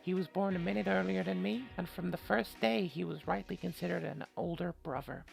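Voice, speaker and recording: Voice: medium pitch, fairly even intonation, a little fast, vocal fry, soft background music. A game player voicing an earnest, anxious young woman who narrates a mystery visual novel. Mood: earnest, uneasy. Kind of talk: scripted first-person visual novel narration.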